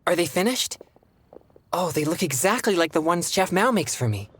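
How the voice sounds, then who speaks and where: A polite young exorcist game character, kind n earnest, talks kinda formal, bg noise. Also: male voice, high-pitched, big pitch swings. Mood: earnest, grateful. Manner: formal, courteous, measured phrasing. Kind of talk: video game character dialogue.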